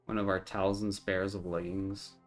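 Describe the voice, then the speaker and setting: A man's voice: low-pitched, little pitch movement, moderate pace, faint music behind. A streamer whose first language is French, chatting casually and laughing easily while he plays. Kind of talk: casual gameplay chat.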